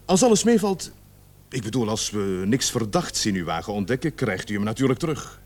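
A stern police sergeant in a radio play. He speaks formally and with authority, weighing his words, and his pitch swings widely as he questions and warns. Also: sing-song, darkish tone. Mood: firm, official. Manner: authoritative, measured, formal interrogator. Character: stern police sergeant leading a murder investigation.

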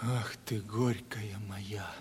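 A man's voice: lowish voice, steady tone, slow pace, a little hiss or hum. An actor playing a simple, tender, anxious young provincial man in love in a 1970s Soviet radio play, folksy and earnest. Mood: aching tenderness. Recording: old radio play, faint music behind.

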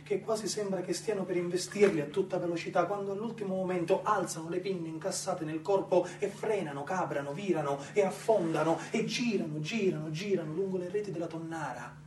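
An actor playing an entranced storyteller in a poetic theatrical monologue, lyrical and full of incantatory repetition. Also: male voice, high-pitched, fairly even intonation, brisk, few pauses, darkish tone, a little hiss or hum, close to an everyday voice. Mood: hushed wonder. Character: rapt storyteller visitor, dreamily entranced by swimming tuna. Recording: echoey room, distant mic, music behind. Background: clear music.

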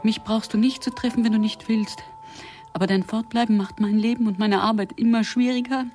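A woman acting an anxious, distraught wife in a German radio play, urgent and at times hushed. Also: on the high side, fairly even intonation, fairly quick, soft background music, lightly acted. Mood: tense, restrained. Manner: emotional, urgent, drifting into hushed whispers. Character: anxious, distraught wife hiding from her husband.

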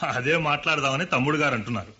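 A man playing a husky matter-of-fact dealmaker. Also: mid-range voice, fast talker, pushed and bright. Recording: dull lo-fi radio recording.

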